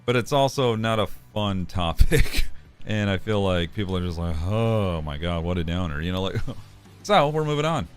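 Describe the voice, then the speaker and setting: A man's voice: low-pitched, animated, normal speed, clear, resonant tone, faint music behind. A man chatting casually on a livestream, joking loosely and laughing often. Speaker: streamer.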